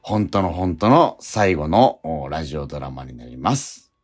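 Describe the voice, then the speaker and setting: A man hosting a radio show, talking and making announcements in a halting, deliberate way. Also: very deep voice, sing-song, music in the background. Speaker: radio show host and scriptwriter.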